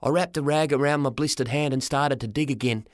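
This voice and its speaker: A man narrating a first-person story for an audiobook, dramatic and expressive, in short measured phrases. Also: medium pitch, no echo, clean studio sound.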